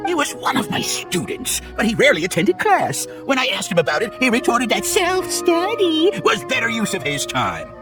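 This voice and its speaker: An indignant old academic in a video game. His delivery is pompous and theatrical, swinging widely in pitch, with music playing clearly behind him. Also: wildly swooping pitch, normal speed, hardly any pauses, heavily acted. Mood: outraged. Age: older.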